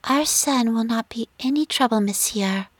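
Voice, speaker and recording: A woman's voice: fairly high pitch, moderate pace, no room sound, voice pushed up, lightly acted. A woman voicing a haughty, indignant French woman in an audiobook, with a French accent.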